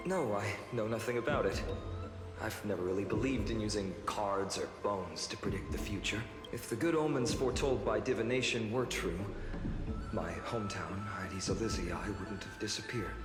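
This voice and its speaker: A man acting an earnest young warrior hero from a video game, with a low, measured voice. He sounds sincere and wistful. The sound is echoey, with music playing clearly behind. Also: close to an everyday voice. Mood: wistful, reflective.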